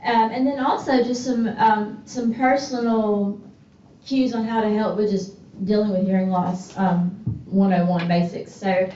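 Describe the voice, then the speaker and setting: A woman's voice: mid-range voice, steady tone, measured pace, dark tone, a little hiss or hum. A woman hosting an event and introducing the next speaker, hesitant with many ums. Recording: roomy, distant, dull sound.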